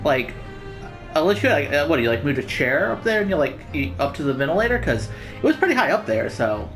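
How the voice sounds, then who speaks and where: Female let's play host, casual and slangy, rambling delivery, animated intonation, soft music behind. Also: low voice, fairly quick. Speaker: gaming video host.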